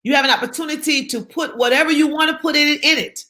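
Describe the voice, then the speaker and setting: A woman's voice: sits high, fairly even intonation, fast talker, sharp tone. A woman from South Carolina, speaking as an interview guest in an emphatic, encouraging way and repeating her key phrases for effect. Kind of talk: motivational interview talk.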